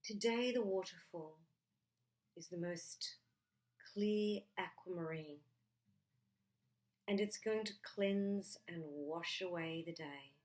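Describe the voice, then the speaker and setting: Lady doing guided meditation, kinda low voice, super slow n soothing, lots of pauses. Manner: soothing, gentle, unhurried with long pauses.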